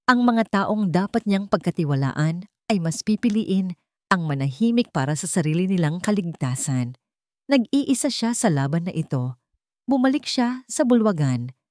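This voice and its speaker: Low-voiced woman narrating a story. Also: darkish tone, no echo, studio quality. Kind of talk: scripted dramatic story narration.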